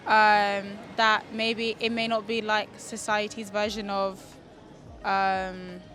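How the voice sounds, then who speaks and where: A woman in her twenties giving casual opinions as an interviewee in hesitant, halting phrases. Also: medium pitch, level tone, fairly bright tone, soft background music. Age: 20s. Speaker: street interviewee.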